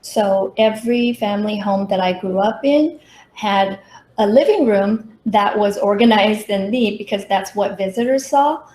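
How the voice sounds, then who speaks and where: A woman's voice: mid-range voice, measured pace, a little mellow. A middle-aged woman from Taiwan giving a prepared workshop presentation with personal stories, as a professional consultant. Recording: roomy echo, faint background noise.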